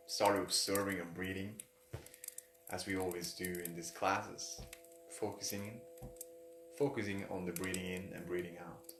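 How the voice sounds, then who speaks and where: A man leading a guided meditation in halting, repetitive phrases of non-native English. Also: low-pitched, fairly bright tone, slight background noise. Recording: roomy echo, music behind. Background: music.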